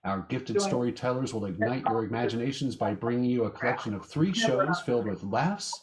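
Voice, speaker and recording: A man announcing a radio show in a measured, theatrical, scripted style. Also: mid-range voice, lively intonation, a crowd in the background.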